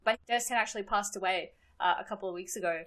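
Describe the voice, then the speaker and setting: A chatty Australian woman in her thirties, talking loosely as a podcast guest. Her voice sits at an ordinary middle pitch, and she breaks into laughter often while explaining things. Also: fast, a bit bright. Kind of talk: casual podcast chat.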